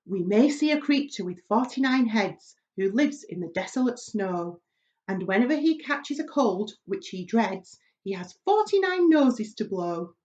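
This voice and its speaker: A woman's voice: medium pitch, darkish tone, close to an everyday voice. A grinning, boastful storybook centipede, acted by a woman reader. He is exuberant and theatrical, with a rollicking delivery on a slightly dull recording.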